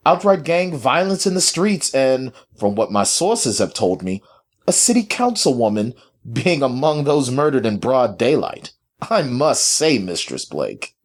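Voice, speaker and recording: A man's voice: medium pitch, big pitch swings, measured pace, voice pushed up. A man voicing a cold, pompous, irritable master who speaks formally and with authority in a story.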